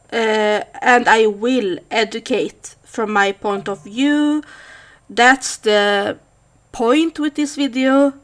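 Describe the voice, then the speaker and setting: Woman, thirties, Swedish accent, vlogger, slow, hesitant, slightly high voice. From Sweden. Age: 30s. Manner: thoughtful, hesitant with frequent fillers. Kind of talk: casual monologue to camera.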